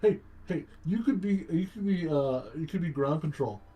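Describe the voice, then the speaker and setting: A man's voice: animated, moderate pace, dark tone, rough recording. A man bantering casually over a game livestream on an online voice call, swearing and often repeating his phrases. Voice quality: low baritone voice. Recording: voice chat with clear background noise.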